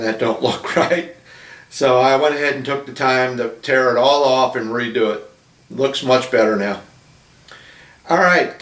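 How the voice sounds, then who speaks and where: A man who builds model ships, chatting casually to his viewers with lots of fillers and restarts, his voice going a bit hoarse. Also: medium pitch, fairly bright tone, distant mic. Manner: rambling, many fillers and restarts. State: losing his voice, coughing. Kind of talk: casual talk to camera. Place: small apartment room. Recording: echoey room from off-mic.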